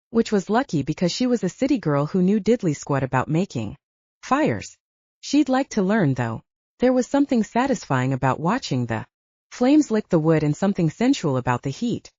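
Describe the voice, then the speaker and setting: A woman narrating an audiobook in an expressive storytelling way. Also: on the low side, dry recording. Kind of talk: scripted narration. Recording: dull lo-fi dry sound.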